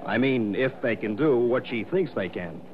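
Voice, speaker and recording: A man's voice: mid-range voice, animated, moderate pace, close to an everyday voice. An actor playing a breezy, enthusiastic, folksy male insurance agent in a 1960s radio drama. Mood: earnest, excited. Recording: dull old radio, loud outdoor effects noise.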